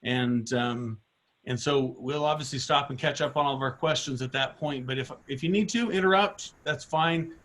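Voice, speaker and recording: A middle-aged man with a slightly hoarse voice leading an online product training, informal and rambling with many fillers and restarts. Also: medium pitch, moderate pace, a bit bright.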